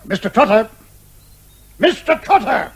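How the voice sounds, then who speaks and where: Short-tempered indignant major, high-pitched, animated swoops, peremptory, spluttering, comic radio play. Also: male voice, measured pace, breathy edge, heavily acted. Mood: exasperated. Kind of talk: comic radio drama acting.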